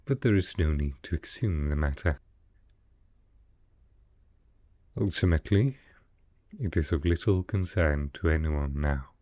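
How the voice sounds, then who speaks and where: A man playing a sickly, proud, melancholy aristocrat in a roleplay audio drama, speaking softly in a formal, measured, dryly reserved way. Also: no echo. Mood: somber, brooding. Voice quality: low, soft, with a cough.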